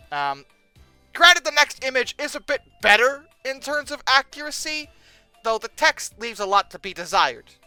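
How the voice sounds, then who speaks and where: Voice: medium pitch, sharp tone, smooth, no echo. A commentator reacting aloud in an incredulous, sarcastic, exasperated way, with emphatic delivery. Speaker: video host. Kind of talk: reacting commentary, reading old text aloud and mocking it.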